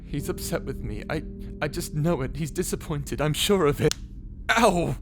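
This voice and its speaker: A man's voice: a little high, animated, moderate pace. A man playing an insecure, guilt-ridden pirate captain in an audio roleplay, earnest and lonely, with a hesitant, stammering delivery. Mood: anxious, ashamed, then flustered, self-doubting. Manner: hesitant, stammering, self-doubting. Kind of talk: scripted ASMR audio roleplay. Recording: music laid under the voice. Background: music.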